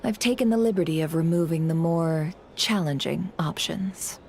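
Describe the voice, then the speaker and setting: A woman's voice: low-pitched, normal speed, a little mellow, slight background noise. A woman playing a poised, shrewd and influential lady in a game, speaking with formal composure and persuasion. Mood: smooth, confiding. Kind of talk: scripted game voice acting. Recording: music behind the voice.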